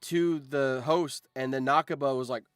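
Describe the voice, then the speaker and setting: A man with a mid-pitched voice talks casually on a livestream, close to the mic with a dry sound. He rambles through anecdotes like an enthusiastic fan, informal and happy to swear. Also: fairly even intonation, normal speed, clean tone, dry recording, faint music behind. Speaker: streamer and voice actor.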